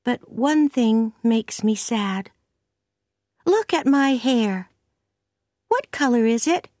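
A woman's voice: a little high, animated, takes it slowly, darkish tone, no echo, studio quality, voice pushed up. A woman voicing a talkative young orphan girl in a storybook, earnest, wistful and dramatic. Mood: dreamy, eager.

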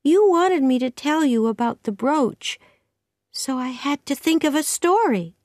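Young girl character, acted by a woman, high voice, eager, earnest, pleading, storybook, lively swoops. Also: measured pace, no room sound, studio quality. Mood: sad.

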